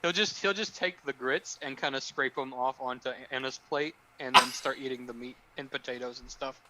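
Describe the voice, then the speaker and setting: A man's voice: medium pitch, fairly even intonation, moderate pace, very noisy. A man playing a tabletop roleplaying game, chatting casually and bluntly about his character's actions.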